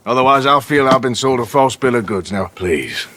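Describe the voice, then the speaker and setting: An actor playing a menacing, sardonic American outlaw with a Western drawl in a game cutscene. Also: male voice, medium pitch, expressive, normal speed, background noise, close to an everyday voice. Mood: cold, sardonic. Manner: dry, sardonic, threatening. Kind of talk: dramatic game cutscene acting.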